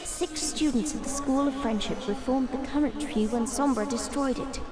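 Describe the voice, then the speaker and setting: A woman's voice: sits high, normal speed, over loud music, lightly acted. A woman playing a dying magical tree seed spirit in a fantasy audio drama, speaking in a formal, grave, earnest way. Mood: solemn.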